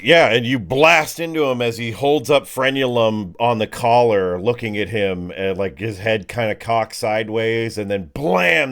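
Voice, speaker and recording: A man's voice: mid-range voice, fairly bright tone. A man acting as game master, narrating a tabletop role-playing game in casual, slangy language.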